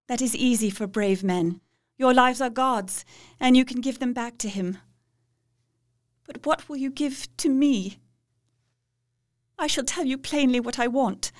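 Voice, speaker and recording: A woman playing Mina, a devoted young wife, speaking with slow, solemn, pleading resolve in a radio play. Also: on the high side. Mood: earnest.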